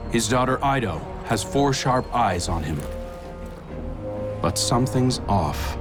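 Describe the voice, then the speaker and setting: A man playing an earnest, reflective commander, giving measured, solemn narration for a video game. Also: lowish voice. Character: earnest male space-hunter commander, reflective, burdened by duty. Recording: game music under the narration.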